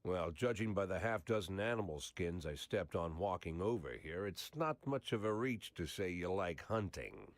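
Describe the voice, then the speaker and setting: An actor playing a world-weary, guarded cop in a video game, laconic and understated. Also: male voice, low voice, lively intonation, moderate pace, few pauses, no room sound. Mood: guarded, dry.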